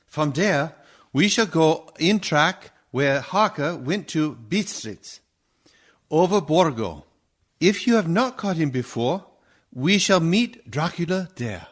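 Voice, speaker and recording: Guy playing older vampire hunter in a radio drama, husky, slow, intense, pitch swinging around. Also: expressive. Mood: resolute. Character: commanding, anguished older male vampire hunter. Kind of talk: radio play acting.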